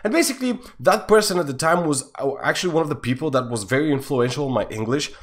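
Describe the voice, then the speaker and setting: Young male storyteller from Bulgaria, Bulgarian native, slightly high voice, casual rambling delivery. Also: quick pace. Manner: rambling, many fillers and restarts, lively swings in pitch and loudness. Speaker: storytime YouTuber.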